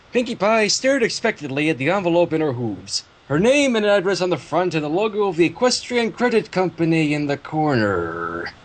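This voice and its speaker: A man with a husky, expressive voice, reading a story aloud and bantering casually. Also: on the high side, moderate pace. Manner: animated, expressive. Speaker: fan fiction reader on a livestream.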